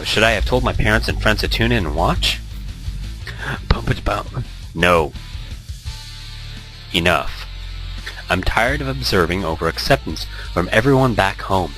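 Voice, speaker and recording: A man with a fairly low, bright voice hosts a Let's Play. He moves between rambling, joking asides and reading visual novel narration aloud, with lively intonation and music faintly behind him.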